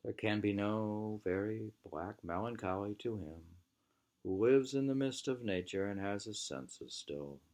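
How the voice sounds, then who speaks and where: An American man reading a literary book aloud in an unhurried, musing manner, often pausing to repeat or mull over phrases. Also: fairly low pitch, a little mellow. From New England, United States. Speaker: reader.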